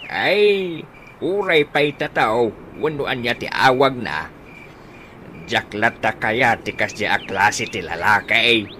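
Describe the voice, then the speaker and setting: An elderly rural father in an Ilocano comedy radio drama. He grumbles and teases in an Ilocano accent, his pitch swinging widely over clear background noise. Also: lively intonation, slow pace, sharp tone. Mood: comic disapproval.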